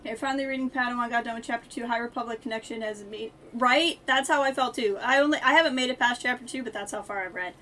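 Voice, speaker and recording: A woman streams a video game with a bright, fairly high voice. She chats casually with viewers and drops offhand asides to herself, over faint noise and keyboard clatter. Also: fast talker, hardly any pauses, poor audio. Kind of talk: casual live-stream game commentary and chat.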